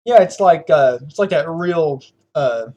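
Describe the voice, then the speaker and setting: A man's voice: a little high, lively intonation, measured pace, warm tone, muffled recording. A young man with a Southern US accent, chatting casually as a podcast host, rambling with lots of fillers and frequent laughs. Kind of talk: casual chat.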